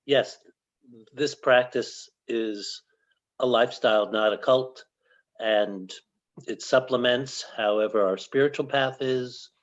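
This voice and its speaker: An older man hosting a conversational interview in a measured, halting way with pauses. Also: mid-range voice, dull audio. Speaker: host, yoga organization director.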